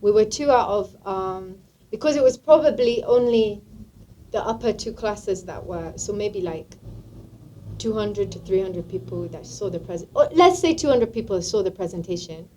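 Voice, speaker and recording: A woman from England speaking as an interview guest, thoughtful and stop-start. Her voice is mid-pitched. A faint hiss sits behind her. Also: lively intonation, normal speed, mellow, low-quality recording. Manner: thoughtful, trailing off and restarting. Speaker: guest musician. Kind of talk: casual interview talk.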